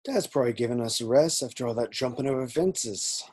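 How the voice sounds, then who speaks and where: A man's voice: mid-range voice, a little fast, darkish tone, close to an everyday voice. A man reading the part of an eager, naive young police constable who loves action films. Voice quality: eager, chatty.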